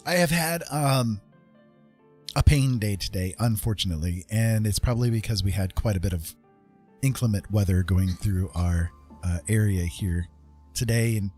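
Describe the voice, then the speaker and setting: A man hosting a casual livestream, chatting to viewers in a rambling, playful, husky voice. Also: fairly low pitch, normal speed, a little mellow, soft background music. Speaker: livestream host. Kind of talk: casual chat to live viewers.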